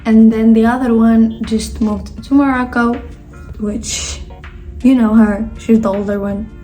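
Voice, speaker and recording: A young woman from Spain talks her way through a casual sit-down vlog, answering viewer questions and often stopping to correct herself. Her voice is mellow and sits a little high, with a flat, even melody and an unhurried pace. Faint music plays underneath. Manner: chatty, rambling with many fillers and self-corrections. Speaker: vlogger. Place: indoors at her sister's home.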